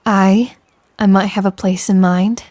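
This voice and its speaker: Woman acting a swaggering pirate captain, low-voiced. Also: steady tone, measured pace, close to an everyday voice. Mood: inviting. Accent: stage pirate. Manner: teasing, gently commanding, old sailor slang. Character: swaggering, tender female pirate captain, gently commanding. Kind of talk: scripted roleplay audio, intimate second-person address.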